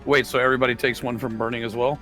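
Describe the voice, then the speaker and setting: A man's voice: mid-range voice, level tone, racing, pushed and bright, soft background music. A man playing a tabletop roleplaying game, chatting casually with wry, grumbling remarks. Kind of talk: casual game chat with swearing.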